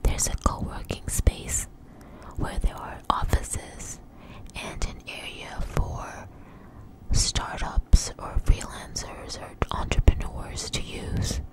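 A woman telling a personal story in a close, breathy ASMR whisper, with halting, deliberate phrasing. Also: poor audio. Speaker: ASMR channel host. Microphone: close microphone.

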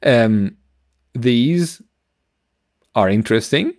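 A middle-aged man explaining science informally as a podcast co-host, halting as he searches for words. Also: medium pitch, lively intonation, a little mellow, no echo, clean studio sound.

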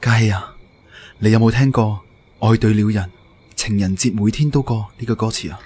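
A man's voice: lowish voice, normal speed, darkish tone, lightly acted. A man acting a devoted, earnest and unsure young man in love in a radio drama, with a Hong Kong Cantonese accent. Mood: tender. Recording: roomy echo, music bed.

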